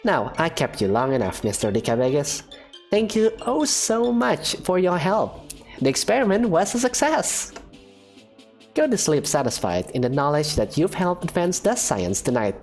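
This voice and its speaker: A woman's voice: deep voice, sing-song, moderate pace, darkish tone, faint music behind. A woman voicing a cheerful, reckless female professor who lectures breezily and teases her assistant. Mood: teasing. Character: eccentric, cheerful female dust science professor. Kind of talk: fan game voice acting.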